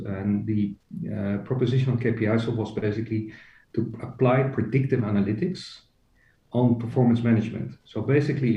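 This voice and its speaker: A middle-aged man, an HR executive and company co-founder, talking as a podcast guest, hesitant with many fillers and restarts. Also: lowish voice. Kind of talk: casual interview. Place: joining remotely from home. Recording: roomy, distant, dull remote audio.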